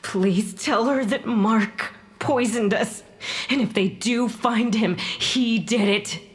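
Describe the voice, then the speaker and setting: A woman's voice: a little high, takes it slowly. A woman playing the bitter, desperate ghost of a poisoned wife and mother in an audio drama, volatile and anguished. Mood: defensive, insistent. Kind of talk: audio fiction podcast acting.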